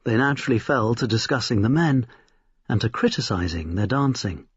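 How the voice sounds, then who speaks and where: A man narrates a short story with lively, varied intonation. His voice is very husky and mid-pitched. The recording is slightly muffled. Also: moderate pace. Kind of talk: expressive scripted storytelling. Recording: roomy, slightly dull recording.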